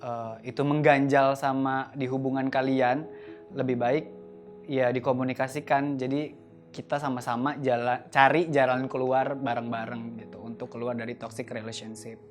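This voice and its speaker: Halting young man over soft guitar music. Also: mid-range voice, level tone. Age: 20s. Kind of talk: casual interview answers. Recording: faint guitar music behind.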